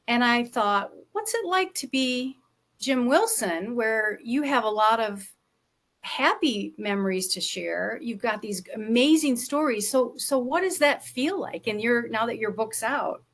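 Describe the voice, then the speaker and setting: A woman interviewing in a casual, chatty and expressive way, with emphatic phrasing. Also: on the high side, measured pace. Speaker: interviewer and memoir author.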